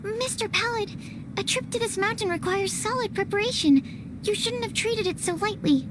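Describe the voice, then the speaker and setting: A woman's voice: very high-pitched, moderate pace. A woman voicing a timid, earnest young woman alchemist in a video game, hesitant, polite and apologetic in formal phrasing. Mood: polite concern. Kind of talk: animated game dubbing. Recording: clearly noisy background.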